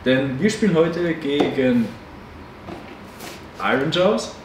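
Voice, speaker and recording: A man's voice: on the high side, lively intonation, slow, echoey room. Man, 30s, rambling casual host, distant mic, faint hiss. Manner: rambling, many fillers and self-corrections. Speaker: co-host of a tabletop game channel. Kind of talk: casual chat introducing a battle report. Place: hobby game shop, indoors.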